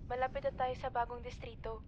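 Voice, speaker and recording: A woman acts a young female apocalypse survivor, emotional and determined. Her pitch is high and her manner pleading, as in a horror melodrama. The recording is muffled and noisy. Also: flat delivery, fairly quick, natural voice. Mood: consoling, hopeful. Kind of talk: melodramatic horror film acting. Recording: dull, noisy, rumbling recording.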